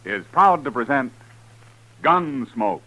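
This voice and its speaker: A man announcing and pitching a sponsor in emphatic, salesmanlike 1950s radio style. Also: expressive, takes it slowly, bright, forceful tone, rough recording. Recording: husky old radio broadcast, faint music.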